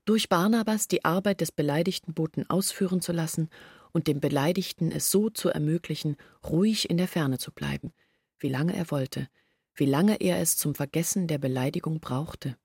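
An actress playing an earnest woman who confesses her family's failures in a reflective, measured monologue from a literary radio play. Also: deep voice, darkish tone, slightly rough, no echo, clean studio sound. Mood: confessional, resolute.

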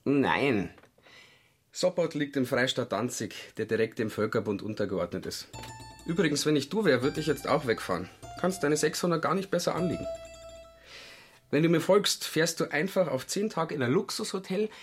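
An actor playing an unabashed, worldly count who schemes nonchalantly in a radio play. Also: male voice, fairly high pitch, animated, moderate pace, faint music behind, close to an everyday voice. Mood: persuasive. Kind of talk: German radio play acting.

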